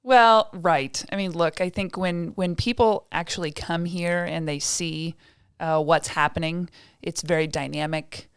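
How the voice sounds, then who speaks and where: Lowish smooth woman from indiana, chatty podcast guest, lots of ums. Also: steady tone, unhurried, no echo, clean studio sound. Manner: chatty, many fillers and restarts, self-deprecating laughs. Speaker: state development director, guest. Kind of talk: casual interview talk.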